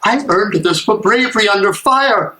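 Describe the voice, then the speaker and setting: An actor playing an anguished, disillusioned German soldier and prison guard, emotional and sarcastic, in an echoey play reading. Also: male voice, high-pitched, expressive, moderate pace, pushed and bright, slight background noise. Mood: bitter, angry. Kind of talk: dramatic reading of a stage play. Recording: echoey room, live reading.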